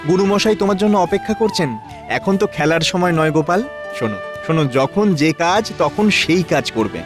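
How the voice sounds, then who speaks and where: A man playing a kindly, protective divine elder brother in a children's cartoon, reassuring and gently instructive. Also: sits high, lively intonation, brisk, over music.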